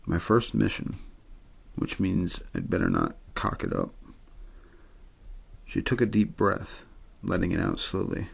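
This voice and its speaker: A man reading a story aloud in ASMR bedtime style, with an understated, untheatrical delivery and a gravelly, husky voice. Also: low-pitched. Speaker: amateur audiobook reader.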